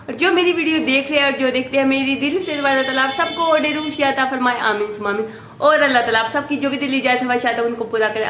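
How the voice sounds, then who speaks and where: A woman from Pakistan with a Pakistani Urdu accent, vlogging her daily routine at home, chatting softly with a hoarse voice from a sore throat. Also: high-pitched, slight background noise. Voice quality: soft, chatty, full of blessings and "ji".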